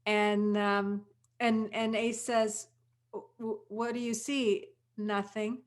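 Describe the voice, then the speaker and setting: A woman's voice: mid-range voice, little pitch movement, takes it slowly. A woman in casual chat, recounting scenes hesitantly and repeating words. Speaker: audio drama cast member.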